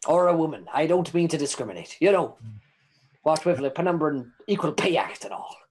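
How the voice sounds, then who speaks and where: A man's voice: a little high, expressive, brisk, darkish tone, husky. A man voicing a chatty, cheeky imprisoned informant who rambles and stammers in old-timey folksy phrasing. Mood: rambling.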